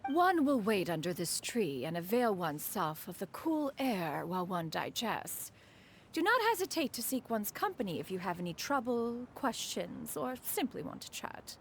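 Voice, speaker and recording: A woman's voice: mid-range voice, sing-song, moderate pace, a little hiss or hum. A woman voicing a proud, ageless bird immortal in a game cutscene, formal and haughty yet caring. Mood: composed. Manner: formal, stately, refers to herself as one. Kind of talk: video game cutscene acting. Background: music.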